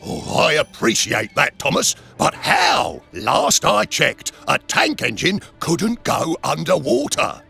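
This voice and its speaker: A grouchy male crane from a kids' cartoon. Extremely gravelly and forceful, he grumbles dramatically with huge swoops in pitch, over some music. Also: huge pitch swings, slow pace, hardly any pauses, exaggerated voice. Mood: doubtful. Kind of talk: children's animated series acting. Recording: music behind the voice.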